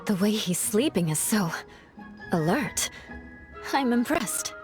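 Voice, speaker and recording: A woman's voice: mid-range voice, sing-song, slow pace, music in the background. Proud, aloof noblewoman knight. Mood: dry, impressed. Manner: formal, haughty phrasing. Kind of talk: anime video game voice acting.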